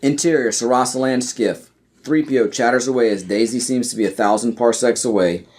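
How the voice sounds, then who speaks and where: A man's voice: medium pitch, brisk, darkish tone. A man narrating an audio play, reading out screenplay scene headings and stage directions.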